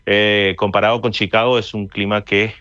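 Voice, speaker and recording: A man from Corrientes with an Argentine accent chats casually as an interview guest, haltingly, often repeating his phrases. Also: lowish voice, flat delivery, pushed and bright, smooth. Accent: Argentine Spanish. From Paso de los Libres, Corrientes, Argentina. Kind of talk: casual chat. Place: remote call into a radio show. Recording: roomy, dull remote call.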